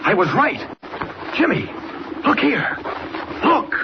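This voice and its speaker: An actor playing a mild, quick-thinking male newspaper reporter in 1940s radio serial style. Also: very high voice, very animated, creaky, heavily acted. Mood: urgent. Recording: muffled, noisy old radio recording.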